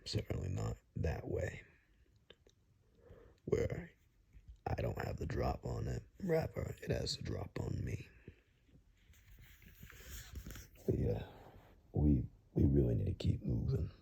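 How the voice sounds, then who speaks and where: Man acting a very deep, gravelly woodsman guide. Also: huge pitch swings, dark tone, full character voice. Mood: uneasy, grave. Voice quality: husky, gravelly, breathy near-whisper. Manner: halting, understated, matter-of-fact. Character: wary, blunt male woodsman guide who knows monsters. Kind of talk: ASMR roleplay, hushed monologue to the listener.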